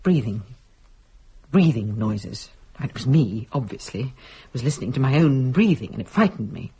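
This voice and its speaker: An actress playing a sharp-tongued married woman, frightened and defensively rationalising, with a husky voice in a dramatic audio-play delivery. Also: extremely low pitch, lively intonation, moderate pace, heavily acted, low-quality recording. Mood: haunted, fearful. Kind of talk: stage-style audio drama acting.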